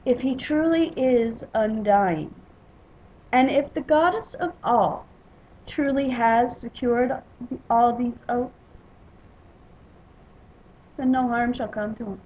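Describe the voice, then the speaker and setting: A woman acts out a sly male trickster god from a storybook myth. He is smug and insinuating, drawing his words out in a slightly high voice. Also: takes it slowly, pauses often, a little mellow, highs cut off, a little hiss or hum. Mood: goading.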